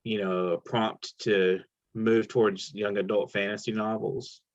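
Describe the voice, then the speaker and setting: A man hosting an interview, asking questions with many halting restarts. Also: lowish voice, level tone, muffled recording. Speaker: host.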